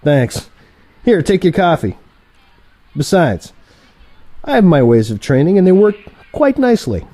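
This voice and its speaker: Guy acting younger assassin dude, smartass, mellow tone but really bouncy delivery, comedy audio play. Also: on the high side, sing-song, measured pace, pauses often. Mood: teasing. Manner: teasing, sarcastic banter. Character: cocky younger male assassin, teasing and sarcastic.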